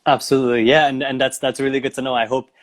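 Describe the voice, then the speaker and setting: A male talk show host chats casually with his guest in a medium-pitched voice. He is affirming and encouraging as he puts his questions. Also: level tone, very fast. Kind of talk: casual interview.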